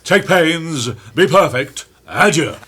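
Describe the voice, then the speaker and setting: A man's voice: a little high, expressive, slow pace, pushed and bright, slightly rough, full character voice. A man playing a bumptious, overeager amateur actor in a comic Shakespearean radio play, pompous and hammy. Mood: pompous, eager. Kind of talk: theatrical Shakespearean comic acting.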